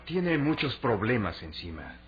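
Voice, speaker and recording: A man acting a courteous, formal ambassador in a radio serial, speaking in a measured way. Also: medium pitch, very animated. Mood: grave, informative. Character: courteous, formal male ambassador, confidant of the hero. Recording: dull radio-drama recording with music underneath.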